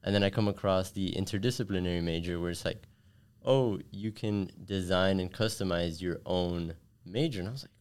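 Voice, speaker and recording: Young guy from miami, really low voice, smooth, chill and chatty. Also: brisk. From Miami, Florida. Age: young adult. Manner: relaxed, thoughtful, fillers like "like". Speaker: guest, engineering student. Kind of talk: casual interview chat.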